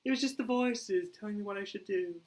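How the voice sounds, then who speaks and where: A woman's voice: medium pitch, a little fast, a little mellow, slight background noise, natural voice. A woman playing a manic, playful, sweetly unhinged villainess. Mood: sheepish, teasing.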